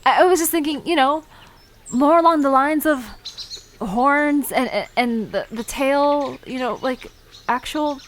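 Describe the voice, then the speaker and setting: Actress as flustered young woman, high-pitched, curious, nervous, stammering, expressive, audio drama. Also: measured pace, a little hiss or hum. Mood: awkward, curious.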